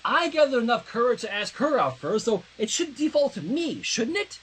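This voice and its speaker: Jealous schoolgirl played by a story reader, high, husky, dramatic and wounded. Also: very animated, normal speed, a bit bright. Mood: indignant. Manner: pleading, indignant, dramatic. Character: jealous, hurt, lovesick young student girl.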